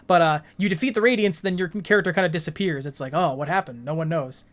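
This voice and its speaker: Higher-voiced man chatting about games. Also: quick pace. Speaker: podcast co-host. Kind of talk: casual video game discussion.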